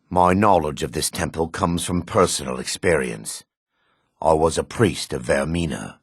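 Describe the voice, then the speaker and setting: An actor playing a devout, remorseful dark elf priest in a fantasy video game, speaking formally and gravely with a raspy voice. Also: male voice, rumbling low, dry recording, clean studio sound, exaggerated voice. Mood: solemn. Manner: formal, measured, grave delivery.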